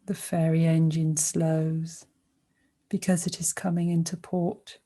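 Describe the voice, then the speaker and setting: Slow, mellow woman guiding sleep meditation. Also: low-pitched, level tone. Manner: gentle, unhurried, pausing between short phrases. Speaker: meditation guide. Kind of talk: guided sleep meditation, soothing scripted narration.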